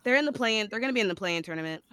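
A woman co-hosting a casual podcast, talking in an animated, playful way, her voice raspy from yelling the night before. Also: mid-range voice, crowd noise. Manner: animated, playful, quick to laugh and joke. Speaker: podcast co-host. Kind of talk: casual chat.

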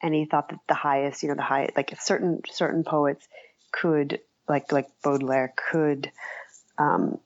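Female writer as interview guest: low register with vocal fry, thoughtful and halting, conversational delivery, muffled recording. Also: no room sound. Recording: dull, lo-fi, dry audio.

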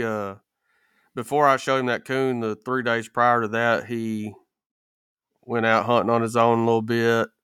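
A man's voice: fairly low pitch, fairly bright tone, smooth, no echo, studio quality. A man in a casual podcast chat, telling stories with folksy, rural phrasing. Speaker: podcast co-host.

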